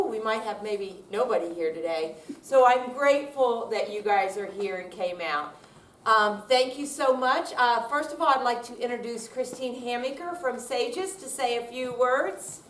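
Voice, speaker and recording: Distant, high-voiced woman hosting in echoey room. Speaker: event host. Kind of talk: informal welcome speech to an audience. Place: indoor room at a senior center. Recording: roomy, distant mic, noisy hall.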